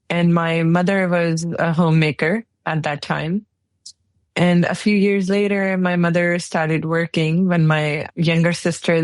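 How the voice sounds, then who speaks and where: Woman from Pakistan, low dry voice. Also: dry recording. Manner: relaxed storytelling with light laughs. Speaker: guest, engineering executive. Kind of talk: casual interview answers.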